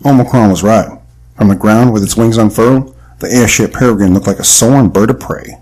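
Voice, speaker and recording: A man's voice: lowish voice, fairly quick, a little mellow, lightly acted, low-quality recording. A man playing a dutiful marksman in an audio drama, earnest and matter-of-fact as he acts and narrates. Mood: recounting. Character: Delta, steady dutiful male marksman narrating his own adventure. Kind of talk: audio drama acting and first-person narration.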